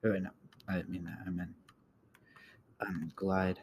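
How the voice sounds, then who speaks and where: A man giving a casual software tutorial, thinking aloud in a halting way and often trailing off. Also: low-pitched, little pitch movement, muffled recording. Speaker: tutorial host. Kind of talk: casual screen-recorded coding tutorial. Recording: clear background noise, keyboard clicks.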